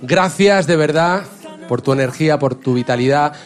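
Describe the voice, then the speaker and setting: A man hosting a live radio interview, thanking his guest warmly and wrapping up the show. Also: on the high side, normal speed, faint music behind. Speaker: radio host. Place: live event in a venue.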